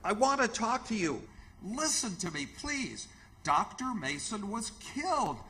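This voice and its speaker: An actor playing an insistent, alarmed doctor, emphatic and confronting, in old-time radio theatre. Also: male voice, sits high, very animated, unhurried, pushed and bright, exaggerated voice. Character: urgent, insistent, alarmed male hospital doctor.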